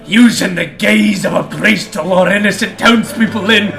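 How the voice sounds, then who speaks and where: A man's voice: sits high, normal speed, creaky, a bit bright. A man playing a zealous young cleric who declaims with passion in a fantasy audio drama. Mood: outraged. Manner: declamatory, impassioned. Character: righteous, zealous young male cleric adventurer.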